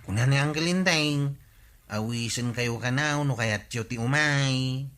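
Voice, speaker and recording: A man's voice: medium pitch, a little slow, fairly bright tone, close to an everyday voice. A male actor playing a chatty, nosy village sidekick in an Ilocano radio comedy drama, colloquial and questioning, with an Ilocano accent. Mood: casual.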